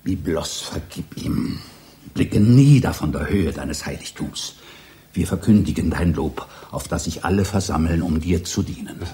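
An actor in a satirical radio drama playing a fanatical librarian priest of a book cult, preaching in solemn, archaic incantations. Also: male voice, rumbling low, lively intonation, a little slow, dark tone, a little hiss or hum. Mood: incantatory.

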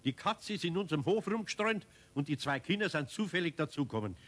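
A kindly, upright Bavarian craftsman of middle age in a 1970s children's radio play. His voice sits a little high. He talks in a homely, plain-spoken way. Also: animated, quick pace, a bit bright. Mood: indignant.

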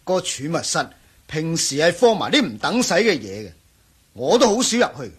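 A raspy, indignant male professor in a radio drama. His voice sits fairly high and leaps around dramatically as he huffs and protests in a Hong Kong accent. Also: sing-song, moderate pace, heavily acted. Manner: huffy, exclaiming, sighing. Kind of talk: Cantonese radio drama acting.

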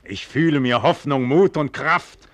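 A man's voice: mid-range voice, measured pace, a bit bright, no room sound, lightly acted. An actor playing a proud, confident, carefree nobleman in a theatrical, declamatory 1940s radio play style. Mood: impassioned, proud. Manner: declamatory, self-assured. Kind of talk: 1940s German radio play acting, theatrical stage diction.

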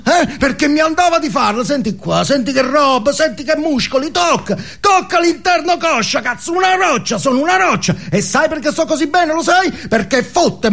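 A man's voice: very high-pitched, gravelly, a bit bright, voice pushed up, full character voice. A man playing a volatile, boastful, foul-mouthed drug-using outlaw in a theatrical stage monologue, badgering his listener. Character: volatile swaggering male drug-using outlaw, manic and menacing.

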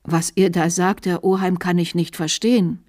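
A woman's voice: fairly low pitch, steady tone, a little mellow, dry recording, clean studio sound, lightly acted. A woman voicing a timid, earnest young nobleman with a proud streak, in a storybook reading. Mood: proud, defiant. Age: young adult. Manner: shy, earnest, halting. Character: timid, bewildered young nobleman with awakening pride.

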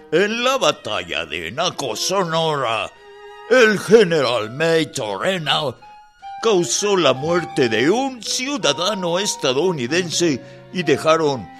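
An actor playing a stern American general in a radio drama, speaking formally and emphatically. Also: male voice, on the high side, a bit bright, heavily acted.

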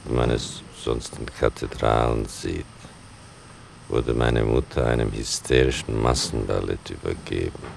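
A grave, ironic son tells his story as narrator of a radio play. The voice is extremely deep and gravelly, with slow, deliberate literary phrasing. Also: slight background noise, full character voice. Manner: measured, deliberate, dryly ironic.

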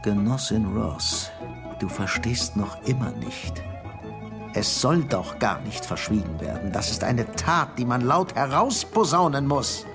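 An actor playing a boastful, seductive and fanatical Soviet security chief in a radio drama, theatrical in manner. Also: male voice, expressive, unhurried, over music. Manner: theatrical, swinging from booming to hushed.

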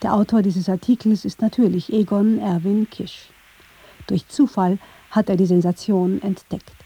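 A woman's voice: medium pitch, steady tone, moderate pace, dark tone, slightly rough, low-quality recording. A woman reading scripted narration for a radio documentary.

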